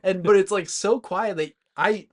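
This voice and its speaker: A man's voice: high-pitched, measured pace. A man co-hosting a podcast, chatting casually about movies in a rambling way, with lots of "like" thrown in. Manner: rambling, peppered with "like". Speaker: podcast co-host.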